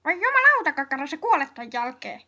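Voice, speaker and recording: A woman voicing a frazzled, hot-tempered young guardian in a comedy cartoon dub, excitable and blustering. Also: sits high, heavily acted. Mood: angry, exasperated. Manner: excitable, blustering, foul-mouthed.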